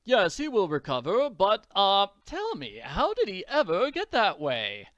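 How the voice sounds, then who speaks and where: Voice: mid-range voice, wildly swooping pitch, unhurried, a bit bright, dry recording, highs cut off, pitched above the performer's own voice. A performer voicing a courtly comic-strip doctor with formal, florid phrasing. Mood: curious, pleased.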